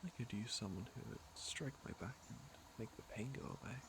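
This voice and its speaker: Man playing a gravelly, flirtatious fantasy villain. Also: mid-range voice, a little fast, noisy background, close to an everyday voice. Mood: teasing, flirtatious. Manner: smooth-talking, teasing, intimate. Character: flirtatious, teasing, secretly caring male fantasy villain. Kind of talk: ASMR roleplay acting, intimate second-person address.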